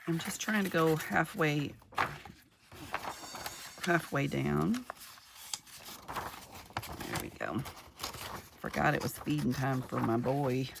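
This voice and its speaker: A middle-aged woman chatting casually while crafting, her voice a little gravelly from just waking up, rambling warmly from one story to the next. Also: low voice, expressive, slow pace, long pauses, background noise. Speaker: craft vlogger.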